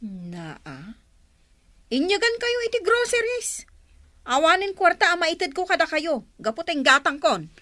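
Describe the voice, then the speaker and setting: An actress playing an exasperated, dutiful grown daughter in an Ilocano radio drama, reproachful and pleading. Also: female voice, extremely high pitch, sing-song, measured pace, bright, forceful tone, full character voice.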